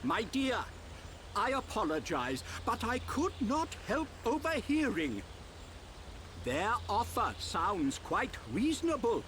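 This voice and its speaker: A man's voice: very high voice, wildly swooping pitch, slow, fairly bright tone, slight background noise, heavily acted. An actor playing a small, genial old creature who is chief of his village in a fantasy game, courtly and self-satisfied. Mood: courteous, persuasive. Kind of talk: fantasy video game acting.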